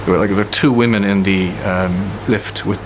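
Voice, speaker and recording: A man's voice: lowish voice, fairly even intonation, moderate pace. A man being interviewed, telling anecdotes in a conversational way. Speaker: poet and literature centre director being interviewed. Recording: clearly noisy, ambient hum and vehicles.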